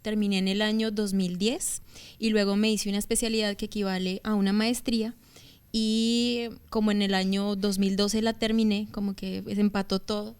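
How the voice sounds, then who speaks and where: A woman's voice: medium pitch, little pitch movement, normal speed, darkish tone, clean tone. A woman in her thirties from Bogotá with a Bogotá Colombian accent, talking as a guest on a television interview, chatty and full of hesitant fillers and restarts. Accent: Bogotá Colombian Spanish. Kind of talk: conversational interview testimony. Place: TV studio.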